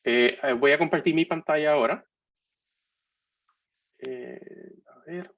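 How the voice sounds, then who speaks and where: A man's voice: a little high, takes it slowly, a bit bright. A man in his forties with a Puerto Rican accent, giving a webinar talk in a jocular, hesitant way full of fillers and restarts. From Puerto Rico. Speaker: guest speaker. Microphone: video call. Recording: muffled online call audio.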